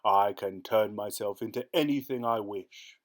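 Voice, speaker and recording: A man voicing a fierce, cruel, boastful ogre with a slow, booming roar. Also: natural voice.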